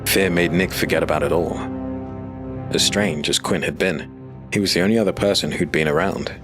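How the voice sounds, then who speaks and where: A man narrating a scripted dark fantasy story as an audiobook narrator. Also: low voice, normal speed, music in the background.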